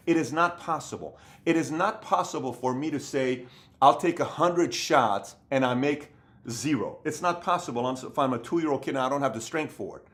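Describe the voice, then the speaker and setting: A man in his thirties giving an emphatic, repetitive motivational business talk to camera, seated in a room with bookshelves. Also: fairly high pitch. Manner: emphatic, repetitive, acts out other people's voices. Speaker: business coach.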